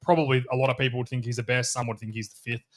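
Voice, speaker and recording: A man's voice: mid-range voice, little pitch movement, rapid-fire. A man hosting a casual sports podcast, bantering and laughing with his co-hosts. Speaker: podcast host and show owner.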